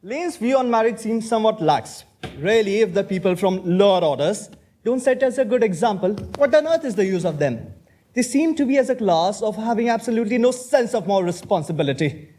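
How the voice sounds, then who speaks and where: A young man playing an idle, witty, pompous young Victorian gentleman in a stage comedy. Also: high-pitched, animated, a little fast, a little hiss or hum. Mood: airy, teasing. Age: young adult. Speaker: student actor in a stage play. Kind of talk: stage comedy of manners acting.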